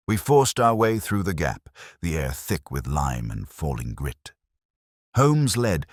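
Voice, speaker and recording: Very deep-voiced male mystery narrator. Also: dry recording, clean studio sound. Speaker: audiobook narrator. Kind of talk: dramatic scripted mystery narration.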